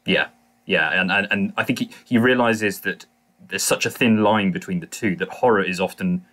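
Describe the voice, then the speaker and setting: Low, bright-voiced man rambling on a podcast. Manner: rambling, halting, self-correcting. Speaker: podcast panelist discussing audio drama. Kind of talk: casual discussion.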